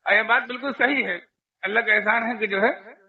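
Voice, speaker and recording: A man's voice: sits high, a little fast. A man giving earnest, informal religious advice over the phone, often repeating phrases for emphasis. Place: phone call. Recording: tinny narrowband phone call recording.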